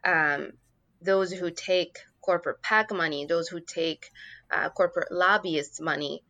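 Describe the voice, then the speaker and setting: A woman's voice: lowish voice, measured pace, sharp tone. A woman from Morocco, answering earnestly as a political candidate being interviewed.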